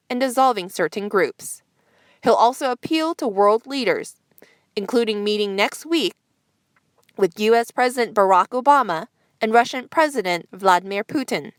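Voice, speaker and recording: A woman's voice: a little high, no echo. A woman news presenter reading a scripted news bulletin.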